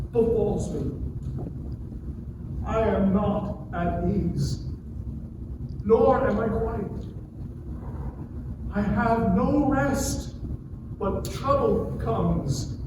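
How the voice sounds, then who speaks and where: Man acting a slow, anguished, high-pitched sufferer. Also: far from the mic, exaggerated voice. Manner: slow, solemn, declamatory. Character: afflicted man in anguish, cursing his birth. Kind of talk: dramatic scripture reading. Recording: very echoey hall from afar, noisy.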